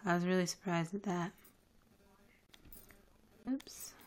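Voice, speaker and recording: A woman's voice: lowish voice, level tone, takes it slowly. A young woman whose first language is French, chatting casually on a live stream from her living room, rambling with lots of fillers. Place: living room at home, indoors. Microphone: headset with webcam.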